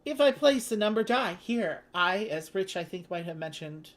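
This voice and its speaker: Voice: mid-range voice, animated, moderate pace. A host casually teaching and playing through a board game, chatty and informal, with enthusiastic asides.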